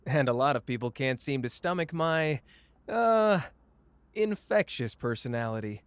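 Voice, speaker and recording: A man's voice: medium pitch, expressive, unhurried, smooth, dry recording, close to an everyday voice. A man playing a cocky, flamboyant young sorcerer who teases the listener in a playful, smug roleplay audio drama. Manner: playful, smug, drawling teasing.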